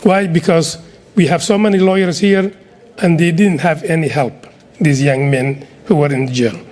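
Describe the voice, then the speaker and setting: A man's voice: on the high side, fairly even intonation, normal speed, darkish tone. A middle-aged man from Somalia with a Somali accent, giving an earnest speech to an audience. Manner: earnest and exhorting, with repetitions. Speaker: community speaker.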